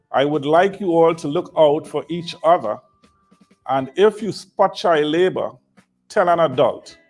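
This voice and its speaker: Gravelly man addressing children. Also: on the high side. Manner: measured, instructive, urging. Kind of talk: scripted public-service narration. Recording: faint background music.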